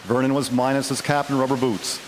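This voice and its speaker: A man's voice: medium pitch, fairly even intonation, brisk, background noise. A man reading scripted narration as the storyteller of an audio drama. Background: music.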